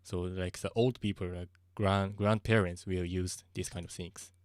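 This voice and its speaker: A man with a Japanese accent talks casually to his livestream audience in a low voice. He often stops to look for words and laughs softly as he goes. The sound is close and dry, with no room echo. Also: fairly even intonation, smooth. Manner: halting and searching for words, with frequent soft laughs. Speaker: streamer.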